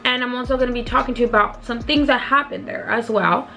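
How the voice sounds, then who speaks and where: A hoarse young woman who has partly lost her voice tells a rambling, chatty storytime to her viewers as a beauty vlogger. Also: a little high, fast, sharp tone, faint music behind. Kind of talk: casual storytime vlog.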